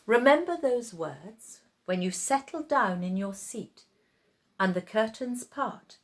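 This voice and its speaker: A woman's voice: a little high, animated, slow pace. A woman reading a story aloud dramatically, with theatrical, suspenseful phrasing.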